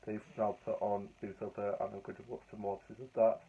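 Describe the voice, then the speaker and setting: Hoarse, chatty man on a tinny line. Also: fairly low pitch, flat delivery, fast talker. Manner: chatty, rambling, upbeat. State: hoarse and croaky from a cold. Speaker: vlogger. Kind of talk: casual vlog chat. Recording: tinny phone-like audio.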